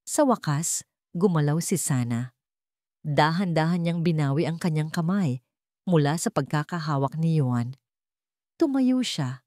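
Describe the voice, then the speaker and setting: A woman with a somewhat low voice narrates a scripted romantic drama. She reads as a storyteller, in a dry recording with little room sound. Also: lively intonation, unhurried, long pauses, warm tone, dry recording.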